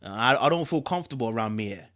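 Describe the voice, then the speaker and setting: A man acts out a controlling husband in a dramatic story reading. He stammers with false reluctance, then presses his point insistently, with a lively, swinging pitch. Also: fast talker. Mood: hesitant, insinuating. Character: controlling, insinuating young husband feigning hesitant concern. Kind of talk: dramatic audiobook-style reading.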